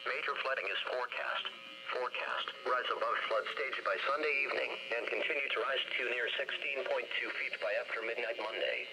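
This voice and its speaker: An automated male announcer reads scripted weather warnings in a measured, robotic voice. His pitch sits in an ordinary middle range. The sound comes from a weather radio speaker, captured by a camera with clear noise. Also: steady tone. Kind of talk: synthetic scripted emergency weather bulletin.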